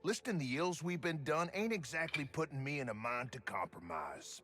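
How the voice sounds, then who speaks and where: Gruff-tempered company man from a space western game, sharp forceful tone, loyal and wary. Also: fairly high pitch, animated, moderate pace. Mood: wary, reflective. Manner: folksy, forceful. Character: angry, wary frontier company foreman, man, stubborn and loyal. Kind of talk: dramatic video game dialogue.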